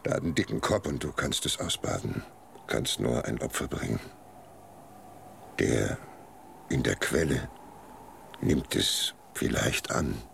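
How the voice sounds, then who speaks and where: An aged village man full of secrets and superstition in a German radio drama. His voice is extremely deep and crackles with gravel. He speaks slowly and ominously, like a wary advisor. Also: lively intonation, fairly bright tone, heavily acted. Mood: grave advising. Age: older. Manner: slow, ominous, deliberate. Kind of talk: German radio play acting.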